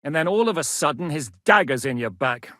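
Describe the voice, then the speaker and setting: A man's voice: on the high side, expressive, bright, forceful tone, dry recording, clean studio sound. A man voicing a shrewd, commanding medieval Czech mercenary lord in a video game, speaking with blunt authority. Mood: reflective, frank. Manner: authoritative, blunt, swings from measured to barking. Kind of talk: dramatic video game dialogue.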